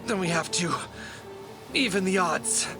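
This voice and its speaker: An actor playing a hapless but determined adventurer in an audio drama, wry, grumbling and quick to exclaim. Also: male voice, high-pitched, animated, over music. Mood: resolute. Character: hapless but determined male fedora-wearing adventurer hero.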